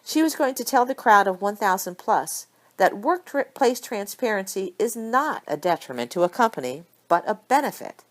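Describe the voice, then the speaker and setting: A woman reading a story aloud as an amateur audiobook narrator, with expressive delivery. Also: mid-range voice, moderate pace.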